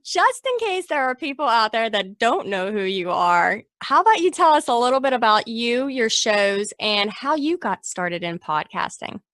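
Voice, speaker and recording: A woman's voice: fairly high pitch, very animated, moderate pace, hardly any pauses, a bit bright, dry recording, clean studio sound. A woman hosting a business podcast, reading a scripted introduction and asking questions. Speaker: podcast host.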